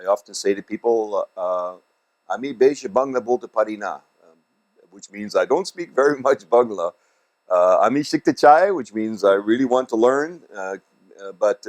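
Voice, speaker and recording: A man's voice: fairly low pitch, a little mellow. A man whose first language is Dutch, giving hesitant remarks to camera at a language celebration, with many fillers and a dry joke. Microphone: video to camera.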